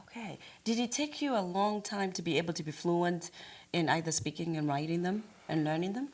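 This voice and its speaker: A woman's voice: fairly low pitch, a little fast, a little mellow. A woman from Zimbabwe hosting a talk show, asking her guest curious questions with light laughter. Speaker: host.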